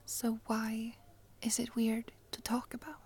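A woman voicing a friendly, chatty young Swedish traveler with a Swedish accent, in a soft, giggly whisper for an ASMR roleplay. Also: a little high, completely flat delivery, a little slow, breathy edge. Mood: puzzled.

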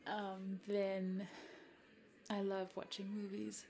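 A South African woman in her twenties whose first language is Afrikaans. She chats to a follower in a giggly, hesitant, soft-spoken roleplay and sometimes drops to a whisper. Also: medium pitch, monotone, takes it slowly, creaky. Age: 20s. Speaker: content creator addressing a follower. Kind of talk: roleplay chat to the listener.

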